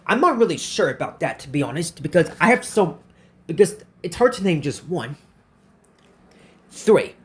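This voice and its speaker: Halting young man answering viewer questions. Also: on the high side. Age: 20s. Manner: halting, repeats and restarts phrases often. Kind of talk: casual Q&A vlog.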